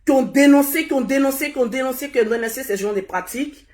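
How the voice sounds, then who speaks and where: A woman hosting a casual live stream, hoarse and husky from a cold, repeating herself as she urges viewers to share. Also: high voice, quick pace, darkish tone. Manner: repetitive, urging viewers to share. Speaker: livestream host.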